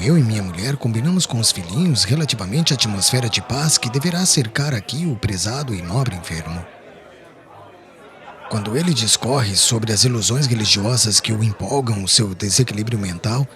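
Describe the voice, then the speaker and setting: An actor playing a talkative, respectable older merchant in dramatized audiobook dialogue, speaking in an earnest and formal way. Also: male voice, lowish voice, a bit bright. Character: talkative, respectable older merchant worried about his brother.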